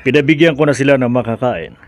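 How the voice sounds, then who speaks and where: An actor playing an obedient, deferential manservant to a sinister master in a horror radio drama. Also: male voice, medium pitch, fast talker, no echo, natural voice.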